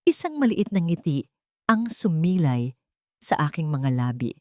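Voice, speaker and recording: A woman with a Filipino accent narrates a scripted first-person story in a measured, dramatic and sentimental manner. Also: deep voice, big pitch swings, mellow, dry recording, studio quality.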